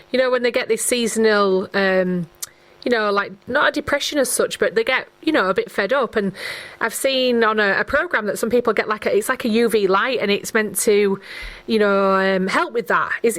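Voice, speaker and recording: Chatty woman radio host, higher-pitched voice. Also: normal speed, fairly bright tone, rough recording. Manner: chatty, tentative, conversational questioning. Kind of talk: casual radio interview chat. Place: radio studio.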